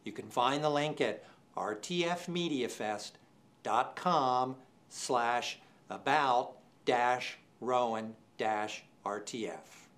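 A man's voice: mid-range voice, takes it slowly, fairly bright tone. Older man presenting an awards show. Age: 60s. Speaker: department chair presenting awards. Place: indoor studio set.

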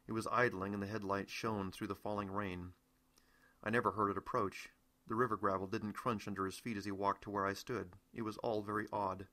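American guy reading his audiobook, lowish voice but really bright. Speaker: author reading his own novel. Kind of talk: scripted first-person audiobook narration.

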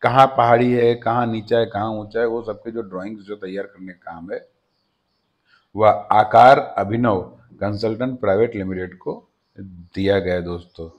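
A man hosting his own channel, giving a steady informational voice-over that often addresses viewers as friends. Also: lowish voice, normal speed. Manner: steady explanatory delivery with frequent "friends" address. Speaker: channel host. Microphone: voice-over over slides.

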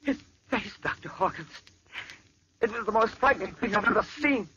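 A panicked, stammering attendant. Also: male voice, high voice, lively intonation, normal speed, sharp tone, a little hiss or hum. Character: terrified young male hospital attendant. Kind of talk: 1940s radio acting. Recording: old muffled radio recording.

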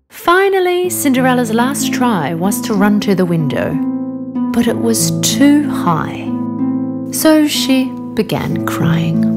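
Slow, highly expressive woman storyteller. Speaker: narrator. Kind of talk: scripted storybook narration. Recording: narration over background music.

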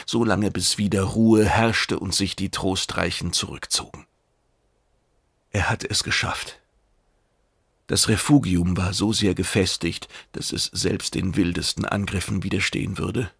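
Gravelly low-voiced male audiobook narrator. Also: a bit bright, dry recording, clean studio sound. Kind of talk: dramatic scripted narration of science fiction.

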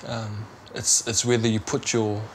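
A man's voice: fairly low pitch, level tone, measured pace. A young man with a New Zealand accent speaking casually and earnestly, with some hesitancy, as an aspiring rugby player in an interview. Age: young adult. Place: small bedroom. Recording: faint background noise.

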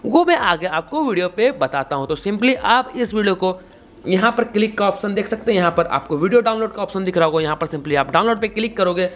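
A man's voice: sits high, animated, brisk, a bit bright. A man in his twenties with an Indian accent, hosting a casual step-by-step tutorial in a repetitive, instructional way. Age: 20s. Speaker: YouTube tutorial host.

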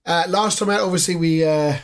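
This is a hoarse man, fairly high for a man, chatting casually on a gaming podcast. He rambles with fillers, recorded on a desk mic in a small home room. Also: fairly quick. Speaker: podcast co-host.

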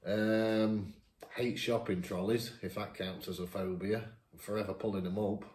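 A man's voice: fairly low pitch, steady tone, normal speed, a little mellow. A 51-year-old man from Lincolnshire, reading questions aloud at his dining table and answering them in a chatty, self-mocking way. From Lincolnshire, England. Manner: reads each question aloud then answers chattily, laughs at himself. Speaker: YouTuber answering viewer questions. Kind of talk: casual question-and-answer chat. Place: dining room at home, seated at a table. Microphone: camera across the table. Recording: echoey room.

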